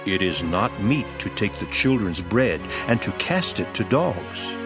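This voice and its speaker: A grave holy teacher in a dramatized scripture reading. His low voice moves widely as he declaims with solemn, measured emphasis. Music plays clearly behind him. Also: big pitch swings.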